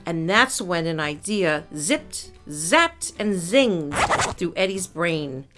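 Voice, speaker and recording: A woman reading a children's picture book aloud as a narrator, in a measured storytelling style with clear emphasis. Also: fairly low pitch, lively intonation, a bit bright, soft background music.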